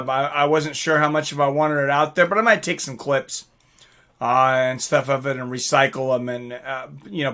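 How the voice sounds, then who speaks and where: A man hosting a casual livestream, reading out viewer comments and reacting in an excitable, informal way with some swearing. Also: on the high side. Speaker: livestream host.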